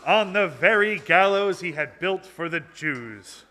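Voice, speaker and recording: A man theatrically narrating a storybook puppet show for children, with emphatic, deliberate delivery. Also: high-pitched, lively intonation. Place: social hall, live before an audience. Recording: echoey social hall with noise.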